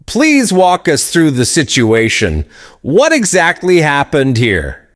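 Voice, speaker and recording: An actor playing an inquisitive, talkative FBI agent in an animated noir satire, questioning in an expressive way. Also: male voice, on the high side, slow, no echo, studio quality, exaggerated voice. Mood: probing. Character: inquisitive, talkative male FBI agent, polite but skeptical.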